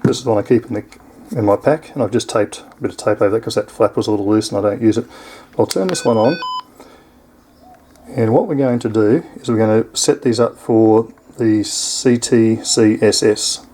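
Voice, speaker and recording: A man's voice: fairly low pitch, normal speed, warm tone. A man with an Australian accent giving a casual, unscripted product demonstration in an easygoing, explanatory way. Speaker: product reviewer.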